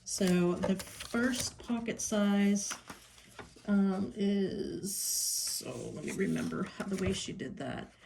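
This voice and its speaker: A woman with a Pittsburgh accent walks through a craft project, taking her time, pausing often with ums and breaking into delighted remarks. Her speech moves slowly. A clear hiss and clicking sit under her voice from the home craft room. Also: medium pitch. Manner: unhurried, chatty, many ums and pauses, delighted asides. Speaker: craft tutorial host. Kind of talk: casual crafting tutorial.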